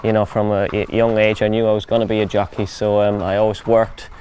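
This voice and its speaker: A man's voice: lowish voice, little pitch movement, a little fast, a little mellow, slight background noise. A man in his twenties, a native German speaker from Germany, giving casual, thoughtful interview answers in English as a jockey. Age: 20s. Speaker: professional flat jockey.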